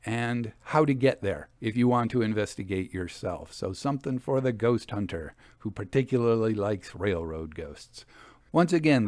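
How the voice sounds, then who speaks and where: A man narrating and commenting as a storyteller and host, with a measured, conversational and expressive delivery. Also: medium pitch, no echo.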